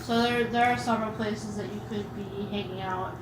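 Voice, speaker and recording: A woman running a tabletop game as game master, giving brisk, matter-of-fact rulings. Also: medium pitch, fairly even intonation. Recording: echoey room, clear background noise.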